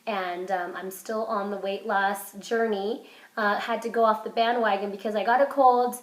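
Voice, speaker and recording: A woman vlogging casually while sick with a cold, her voice hoarse and congested. She rambles with lots of fillers and restarts, keeping an upbeat, self-encouraging tone. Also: mid-range voice, fairly even intonation, moderate pace. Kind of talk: casual vlog chat. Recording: roomy echo.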